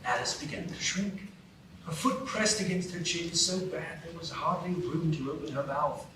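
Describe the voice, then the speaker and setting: A man narrating a storybook tale in a live radio play, telling it in a clear, even way. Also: on the high side, normal speed. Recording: roomy stage from a distance, hiss.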